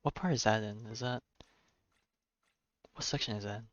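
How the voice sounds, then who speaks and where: A man's voice: lowish voice, moderate pace, a bit bright, no echo, highs cut off. A man livestreaming a game with casual, informal commentary, thinking aloud and reading on-screen text.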